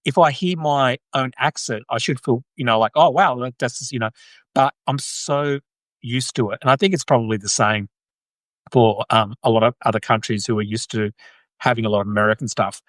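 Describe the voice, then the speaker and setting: A man's voice: mid-range voice, brisk, fairly bright tone, no room sound. An Australian man hosting a live stream, chatting casually with viewers and peppering his points with "right?" and restarts. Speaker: host.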